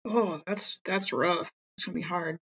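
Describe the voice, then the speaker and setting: A woman chatting casually as a player in a tabletop game, playful and quick to laugh. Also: lowish voice, fairly bright tone, husky, dull audio.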